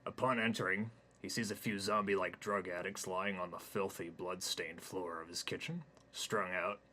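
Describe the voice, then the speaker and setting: A man with a low, bright and forceful voice narrates his own absurd comic story in a deadpan, rambling way. A faint hum sits behind him. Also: normal speed.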